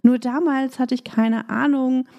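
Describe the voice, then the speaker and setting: Warm-voiced German woman podcast host. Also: sits high, steady tone, unhurried, gravelly. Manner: upbeat coaching tone, with fillers in free talk. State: recovering from a cold, voice slightly rough. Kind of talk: scripted intro then casual monologue.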